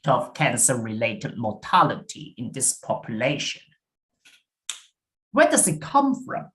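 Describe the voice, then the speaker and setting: A British man giving a prepared science talk as an invited researcher over a video call, measured and deliberate. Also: fairly high pitch, fairly bright tone, poor audio.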